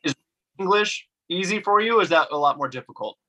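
Guest, man, upbeat casual chatter, bright tense tone. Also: high voice, expressive, brisk, low-quality recording. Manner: enthusiastic, slangy, says "dude" and "like" often. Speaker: podcast guest. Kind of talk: casual chat.

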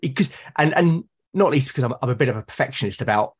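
A man's voice: medium pitch, expressive, fast talker, slightly rough. A British man speaking as a podcast guest, explaining things earnestly in casual conversation. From Britain.

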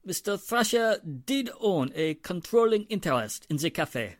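A man's voice: sits high, very animated, moderate pace, dry recording, clean studio sound. A man playing a polite, reluctantly confiding Frenchman from a café, with a French accent, in 1940s radio drama style. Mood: reluctant, confessing.

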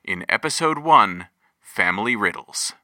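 An actor playing a dramatic announcer introducing an episode of an audio drama. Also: male voice, on the low side, expressive, measured pace, sharp tone, slight background noise, full character voice. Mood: showy, wry.

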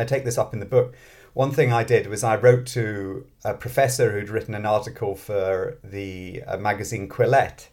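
A British man with a fairly low voice gives a casual interview. He thinks aloud as he explains himself, with a self-deprecating, academic guest's way of talking. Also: a little mellow. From Britain, grew up in Scotland. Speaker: interview guest, mathematics professor and author.

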